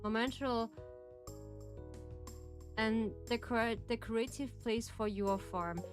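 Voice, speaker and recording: Woman streamer with a German accent, unhurried soft-spoken chat over faint music. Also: on the high side. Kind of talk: casual gameplay chat.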